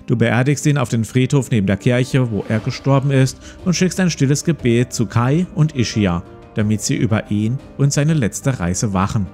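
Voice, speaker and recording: A man's voice: mid-range voice, animated, normal speed, few pauses, darkish tone. A man in his forties hosting a let's play, reading a gamebook aloud and commenting casually. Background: plucked guitar music.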